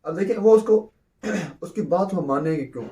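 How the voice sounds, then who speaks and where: A man's voice: sits high, normal speed, warm tone, recorded from a distance. A man teaching a law lecture, who repeats points and answers his own questions. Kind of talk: explanatory lecture, mixing in English terms.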